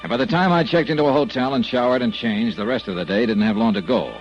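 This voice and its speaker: An actor playing a hard-boiled American investigator, giving dry, punchy, sardonic first-person narration in 1950s radio style. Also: male voice, lowish voice, fast, faint music behind, natural voice. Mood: dry, narrating. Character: hard-boiled male investigator narrating his expense-account case report. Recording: muffled old radio broadcast.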